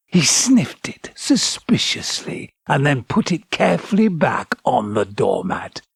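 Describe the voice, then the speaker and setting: A man's voice: on the high side, creaky, breathy edge, no echo, studio quality, exaggerated voice. An actor playing a bitter, wistful old man haunted by past loves in an audio drama, theatrical and brooding. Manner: theatrical, brooding, self-reproaching.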